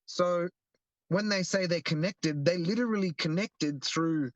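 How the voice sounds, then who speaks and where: A man's voice: high-pitched, measured pace, no echo, rough recording. A young Australian man explaining earnestly in a casual interview, often restarting his sentences. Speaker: guest, activist.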